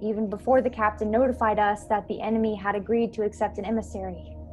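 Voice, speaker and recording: A woman's voice: medium pitch, fairly even intonation, quick pace, a little mellow, soft background music, natural voice. A young woman playing a grieving starship crew member in a science-fiction radio play, telling her story dramatically. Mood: sombre recounting. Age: young adult. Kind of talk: dramatic first-person radio play narration. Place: bedroom at home, video call. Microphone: earphone headset on video call.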